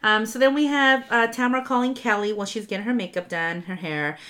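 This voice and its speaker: A woman's voice: a little high, quick pace, bright, forceful tone. Congested lady on a podcast, swears a lot, ums and likes everywhere, just chatting. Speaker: podcast co-host recapping a reality show. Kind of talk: casual chat.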